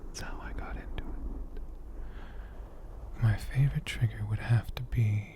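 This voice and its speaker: Slow whispering man, ASMR style. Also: fairly low pitch, fairly even intonation. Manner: gentle, slow, deliberate. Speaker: ASMR creator answering viewer questions. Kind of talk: soft-spoken whispered ASMR. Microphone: USB condenser mic, close up.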